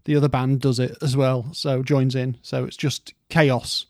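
A man's voice: medium pitch, level tone, a little slow, darkish tone, dry recording, clean studio sound. A man co-hosting a casual music history podcast, rambling through stories in a playful way.

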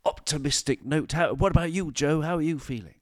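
A man's voice: a little high, brisk, no room sound, studio quality. A man in his late thirties hosting a podcast, playful and florid, with many stumbling restarts. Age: 30s. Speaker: podcast host. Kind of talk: casual podcast chat.

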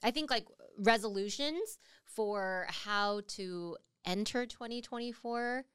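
A woman's voice: medium pitch, slow pace, a bit bright, smooth, no echo, studio quality. A woman in her thirties co-hosting a casual podcast chat, often hesitant and searching for words as she talks. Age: 30s. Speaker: podcast co-host.

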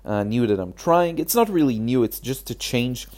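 A man with a hoarse, achy throat, hosting a casual solo podcast, talking haltingly with many fillers. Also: medium pitch, lively intonation, darkish tone. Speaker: podcast host.

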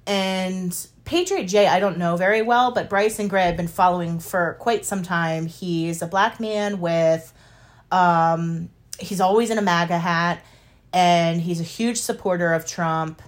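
A woman's voice: on the low side, a little slow. A woman co-hosting a podcast with casual, teasing banter. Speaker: podcast co-host.

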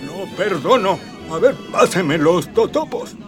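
An actor playing a capricious, self-serving, pompous emperor in a comic radio drama, with haughty theatrical delivery. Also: male voice, sits high, very animated, takes it slowly, exaggerated voice. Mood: haughty, indulgent. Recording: music behind the voice.

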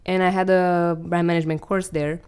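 Woman from Romania, twenties, podcast co-host, smooth clear voice, lowish pitch. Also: level tone, fairly quick, a little mellow. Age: 20s. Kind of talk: casual chat.

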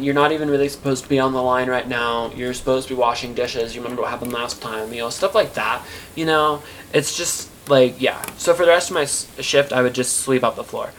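A man playing a disgruntled, defensive night-shift kitchen worker in a halting, rambling conversational monologue for an audio drama. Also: medium pitch, fairly bright tone, a little hiss or hum, lightly acted. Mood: griping, mocking, then sheepish. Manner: halting, rambling, self-interrupting, filler-heavy.

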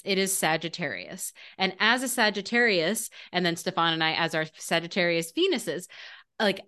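A woman co-hosting a casual podcast. She explains her ideas eagerly and with emphatic energy. Her pitch sits in a typical middle range. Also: pushed and bright. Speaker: podcast co-host. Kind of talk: casual spiritual chat.

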